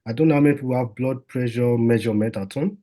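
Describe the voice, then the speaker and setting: A man's voice: medium pitch, quick pace, warm tone. A man, a doctor, giving an informal health talk in a conversational way, with lots of fillers and restarts.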